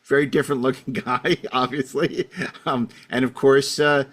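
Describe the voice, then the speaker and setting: A man's voice: mid-range voice, steady tone, normal speed, fairly bright tone. A middle-aged man hosting a casual panel discussion, talking in a rambling way full of you-knows. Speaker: podcast host.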